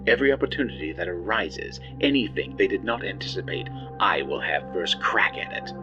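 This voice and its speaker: An actor playing an ambitious, pompous colony administrator in an audio drama, speaking in a formal, grandiloquent and theatrical way. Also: male voice, low voice, sing-song, fairly quick. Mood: scheming, ambitious. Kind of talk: audio drama message monologue. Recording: dull lo-fi audio under music.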